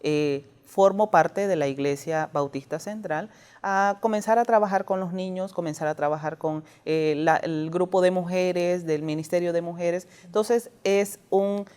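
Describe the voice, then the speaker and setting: A woman from Nicaragua, a church ministry worker giving an earnest, measured testimony in an interview. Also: on the low side, a little mellow, clear, resonant tone. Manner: earnest, measured, often says "obviamente".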